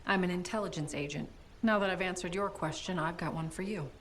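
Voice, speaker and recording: A woman playing a composed, probing intelligence agent in video game cutscene acting, measured and questioning. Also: on the low side, fairly bright tone, natural voice. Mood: cool, probing.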